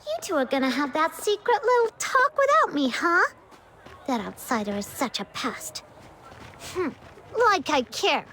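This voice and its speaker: Bright, sassy, high-pitched young woman. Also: sing-song, measured pace, a little hiss or hum, heavily acted. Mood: huffy, teasing. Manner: teasing, huffy, slangy. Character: brash, sassy young woman, easily irked. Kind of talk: video game voice acting.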